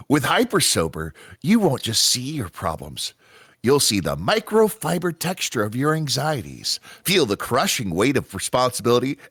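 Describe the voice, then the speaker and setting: Male actor as parody ad announcer: slick, emphatic salesman, hugely animated delivery, dry close sound. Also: mid-range voice, slightly rough, no room sound, exaggerated voice. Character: slick, over-enthusiastic male commercial announcer pitching a drink. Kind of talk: live table read of a parody radio advert.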